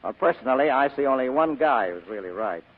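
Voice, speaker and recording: An actor playing a cool, wisecracking hard-boiled private detective in 1940s radio style, clipped and cynical. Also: male voice, mid-range voice, expressive, fairly quick, close to an everyday voice. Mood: hard bargaining. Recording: muffled vintage radio recording.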